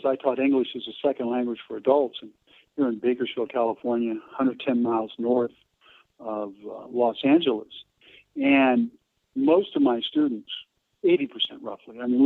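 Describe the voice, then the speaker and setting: An American man of around seventy telling stories in an interview, halting and digressive, often pausing mid-sentence. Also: mid-range voice, gravelly, dry recording. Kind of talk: conversational interview storytelling. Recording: narrow phone-like line.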